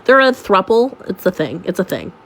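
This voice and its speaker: A young woman sounds stuffed-up from a cold. She tells her story in an animated, sarcastic way and leans into the drama. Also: medium pitch, normal speed, creaky, crowd noise. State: sick, congested. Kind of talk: storytime vlog.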